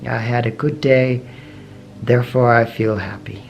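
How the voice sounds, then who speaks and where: A man guides a meditation at a very slow, measured pace. His medium-pitched voice has plenty of gravelly fry. He speaks gently in short phrases with long pauses, over soft music. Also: steady tone. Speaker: meditation teacher.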